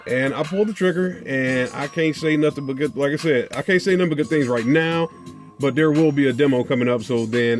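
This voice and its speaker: An American man talks to his viewers like a relaxed product vlogger, in loose, slangy, colloquial phrasing. His voice sits at an average male pitch. Faint music plays behind him. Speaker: car audio video host. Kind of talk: casual unboxing and product chat to viewers.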